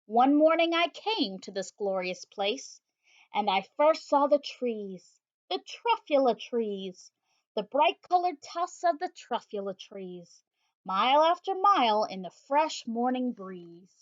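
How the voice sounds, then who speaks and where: Teacher lady reading a rhymey kids book, high voice, bit muffled audio. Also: slow. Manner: rhythmic, expressive rhyming read-aloud. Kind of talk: reading a picture book aloud. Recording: slightly dull lo-fi audio.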